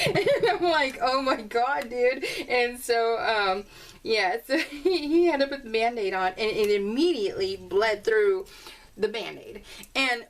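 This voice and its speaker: Woman craft vlogger, higher register, casual giggly storyteller, loose conversational delivery, slightly distant miking. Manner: giggly, animated storytelling with exclamations. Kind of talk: casual rambling chat while crafting, voiced in real time.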